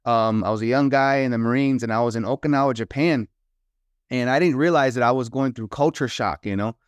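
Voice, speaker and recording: Smooth-voiced reflective middle-aged man. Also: mid-range voice, brisk, no room sound. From New York. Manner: reflective storyteller who slips into Spanish, many "you know" fillers. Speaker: guest, author and consultant. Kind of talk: casual interview talk.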